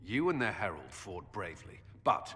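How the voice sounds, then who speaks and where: A blunt military commander from a fantasy game. His voice is lowish and hard-edged, authoritative and disapproving in temper. It rings slightly in a hall. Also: very animated, moderate pace, pushed and bright, exaggerated voice. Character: stern male military commander, disapproving and dutiful. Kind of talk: video game cutscene acting. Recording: hall reverb, faint game music.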